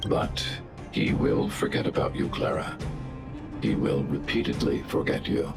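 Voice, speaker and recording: An actor playing a huge, calm male robot overseer who speaks in a precise, mechanical monotone, in a game cutscene. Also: a bass voice, creaky, exaggerated voice. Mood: grave. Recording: studio dub with music underneath.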